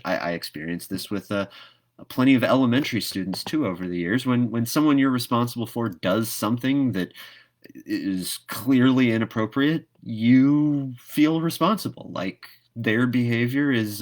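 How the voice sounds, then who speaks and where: A man hosting a read-aloud, moving between storybook narration and casual, thoughtful commentary. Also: mid-range voice.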